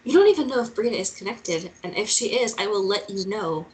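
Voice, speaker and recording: A woman's voice: medium pitch, animated, brisk, lightly acted, rough recording. A woman playing a determined, stubborn journalist in a drama read-through, earnest and persistent in her way of talking. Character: determined female journalist, pleading yet stubborn.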